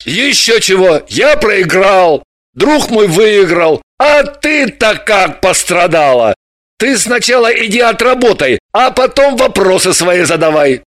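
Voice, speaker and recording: A woman voicing a rowdy drunken male journalist who shouts and blusters. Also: a little high, lively intonation, takes it slowly, dry recording, clean studio sound, voice pushed up, heavily acted. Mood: blustering.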